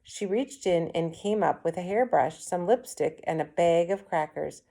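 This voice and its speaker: Slightly low-voiced woman reading a storybook. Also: moderate pace, vocal fry, mellow. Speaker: read-aloud reader. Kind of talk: children's storybook narration, first person.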